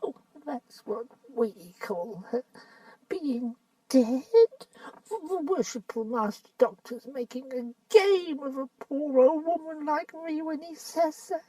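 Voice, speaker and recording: A storybook reader voicing a shrill, whining old hag who wheedles and flatters. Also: takes it slowly, no room sound, distant mic, poor audio. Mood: wheedling.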